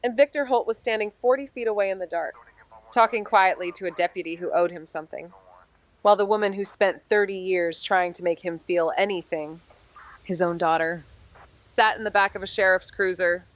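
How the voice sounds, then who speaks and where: A wry, world-weary female investigator played by a woman in a noir mystery podcast. Her voice sits at a middle pitch, and she speaks in a measured, deadpan way, as if telling a grim case. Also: natural voice, rough recording. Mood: somber, reflective. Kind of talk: scripted noir audio drama, narration and dialogue.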